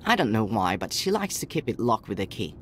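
An actor playing a dutiful, gentle village boy in a video game, speaking in an earnest storybook manner. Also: on the low side, big pitch swings, a little fast, slight background noise. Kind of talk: scripted video game narration and dialogue.

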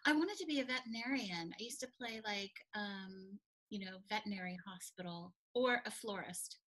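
A middle-aged woman co-hosting a casual video-call chat from home, animated and quick to laugh. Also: medium pitch, rough recording. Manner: giggly, animated. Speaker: podcast co-host. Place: home room, indoors. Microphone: video call, shared webcam.

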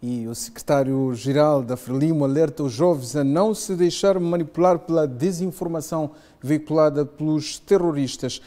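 A man's voice: on the high side, warm tone. A man with a Mozambican accent presenting scripted news as an anchor in a television studio.

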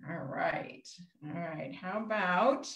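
Older lady doing kids storytime, takes it slow, simple words n counting, echoey home video call. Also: fairly low pitch, fairly bright tone, highs cut off. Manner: simple, child-directed, asks counting questions. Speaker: children's librarian hosting storytime. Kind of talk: children's storytime read-aloud and counting rhymes. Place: small room at home, indoors. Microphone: computer mic on a video call.

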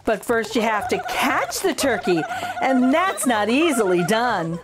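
A woman's voice: fairly high pitch, big pitch swings, measured pace. A middle-aged woman hosting a channel, reading a children's picture book aloud in an exaggerated, sing-song storytelling voice.

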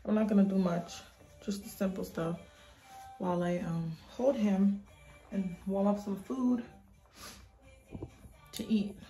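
Chatty young mom with background music. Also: female voice, medium pitch, steady tone, takes it slowly, long pauses, mellow. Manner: chatty, loose and informal, talks to viewers as y'all. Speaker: vlogger, mother. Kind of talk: casual vlog chat to camera. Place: small bathroom at home. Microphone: phone camera held close.

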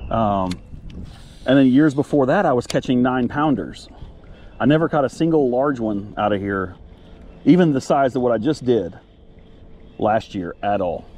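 A man's voice: mid-range voice, animated, normal speed, long pauses, a little mellow, slight background noise. An American man chatting casually and in a folksy way to viewers while fishing from a kayak, recorded outdoors on an action camera. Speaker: outdoor vlogger, angler. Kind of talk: casual fishing vlog chat. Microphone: action camera at chest or head.

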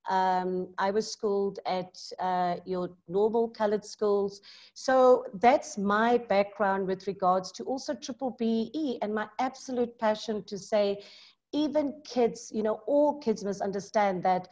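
A middle-aged woman from South Africa with a South African accent, talking in a lively, emphatic way as a maths tutor in a video call interview from her home study. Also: medium pitch, unhurried. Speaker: maths tutor and business owner. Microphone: laptop mic on video call. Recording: muffled video call audio.

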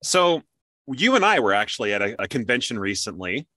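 Bright-voiced man hosting an interview. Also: lowish voice, big pitch swings, fairly quick, dry recording. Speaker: interview host. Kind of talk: casual interview.

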